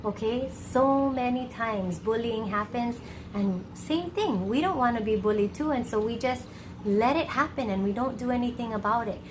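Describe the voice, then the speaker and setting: A woman from Hawaii hosting a children's storytime, expressive and encouraging, speaking colloquially to young listeners. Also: on the high side, normal speed, background noise.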